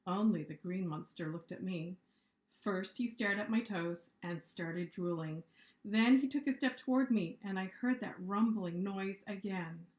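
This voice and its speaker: Female children's author for expressive picture-book narration, mid-pitched voice, recorded in a roomy space. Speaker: author reading aloud. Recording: echoey room.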